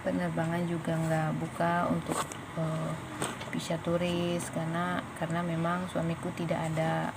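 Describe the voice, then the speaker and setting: A woman's voice: sits low, completely flat delivery, moderate pace. A woman from Indonesia with an Indonesian accent, telling a story casually and chattily to her viewers as a vlogger. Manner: conversational, addresses viewers as friends. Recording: noisy, with vehicle and ambient sound.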